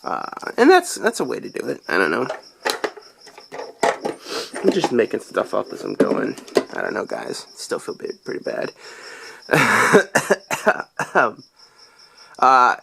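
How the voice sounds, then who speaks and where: Young guy reviewing toys, sick and deep voiced, rambly, lots of ums, pitch jumps around a lot. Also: wildly swooping pitch, takes it slowly, vocal fry, pauses often, background noise. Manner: rambling, chatty, lots of fillers. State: sick, voice deeper than usual. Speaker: toy reviewer. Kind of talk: casual toy review.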